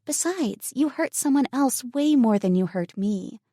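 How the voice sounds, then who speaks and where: A woman voicing a kind, level-headed young woman who talks in an earnest, gentle way. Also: fairly high pitch, lively intonation, a little slow, no room sound, studio quality, voice pushed up.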